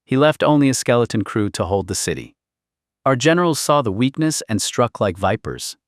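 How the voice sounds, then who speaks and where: A man's voice: mid-range voice, animated, normal speed, no room sound, studio quality, natural voice. A man voicing a commanding, anxious queen and mother in an audiobook, with an imperious, grave manner. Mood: somber, resolute. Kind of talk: audiobook character dialogue.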